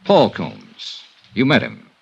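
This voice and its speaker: A man's voice: on the low side, wildly swooping pitch, slow, vocal fry, husky, highs cut off, heavily acted. An actor in a 1950s radio drama playing a wry, hard-boiled male insurance investigator who probes with dry, casual questions. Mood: calm. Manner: dry, probing, casual banter.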